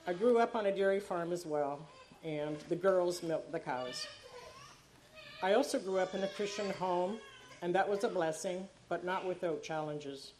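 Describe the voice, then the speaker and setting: A woman's voice: fairly low pitch, normal speed, darkish tone, rough recording. Earnest woman in her sixties, Dutch first language. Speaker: church member giving a baptism testimony. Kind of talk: reading a personal testimony.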